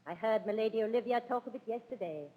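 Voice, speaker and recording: A woman's voice: on the high side, quick pace, natural voice. An actress playing a pert, saucy, scolding lady-in-waiting in 1930s radio Shakespeare style. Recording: dull old radio, reverberant.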